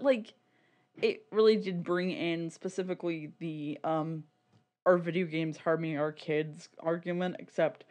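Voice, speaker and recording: A woman's voice: on the low side, darkish tone. A woman co-hosting a podcast in loose, casual discussion, informal and often saying "like". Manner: informal, peppers talk with "like" and laughs easily. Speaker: podcast co-host.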